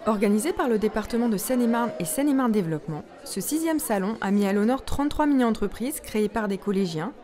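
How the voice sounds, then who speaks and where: A woman's voice: mid-range voice, animated, fast talker, hardly any pauses, dark tone. A woman reading a scripted report voice-over as narrator. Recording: music and crowd chatter behind. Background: music and crowd noise.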